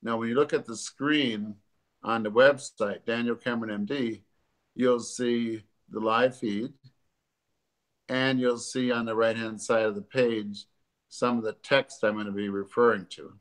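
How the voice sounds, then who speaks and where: A man, hosting a live stream as a doctor from his living room, a bit congested, pausing often with ums and uhs. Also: medium pitch, fairly even intonation. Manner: halting, many ums and uhs. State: congested from allergies. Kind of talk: casual educational talk. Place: living room at home, sitting on a sofa. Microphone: webcam or laptop camera at desk distance.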